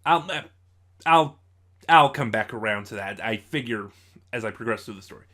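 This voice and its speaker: Halting, digressive man giving a casual review. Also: lowish voice, expressive, sharp tone. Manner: halting, digressive, with self-corrections and asides. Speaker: audio drama reviewer. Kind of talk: casual review with story recap.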